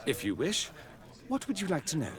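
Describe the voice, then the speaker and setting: An actor playing an obliging, politely cautious shopkeeper in a video game. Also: male voice, on the high side, huge pitch swings, brisk. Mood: helpful.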